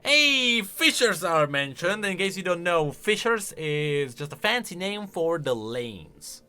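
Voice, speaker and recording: A man giving casual reaction commentary on video game lore, expressive and emphatic as he thinks aloud. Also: sits high, takes it slowly, clean tone. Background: music.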